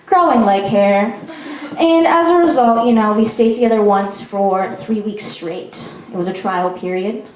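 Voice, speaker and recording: A woman's voice: mid-range voice, a little slow, darkish tone, distant mic, slight background noise. Self-mocking young woman doing stand-up. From Peru. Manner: chatty and self-mocking, with frequent "um" and laughs. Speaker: stand-up comedian. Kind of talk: stand-up comedy set. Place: small performance venue. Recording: echoey venue recorded from afar.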